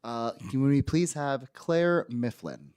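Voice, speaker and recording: A man chairing a public council hearing, who calls on speakers in a halting way with many filler words. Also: medium pitch, big pitch swings, darkish tone, clean tone, dry recording, clean studio sound. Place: city council hearing room.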